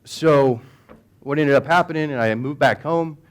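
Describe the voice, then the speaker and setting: A man's voice: on the high side, moderate pace, clear, resonant tone. A man from the United States giving a conversational conference talk in a hall, with many fillers and restarts. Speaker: conference speaker.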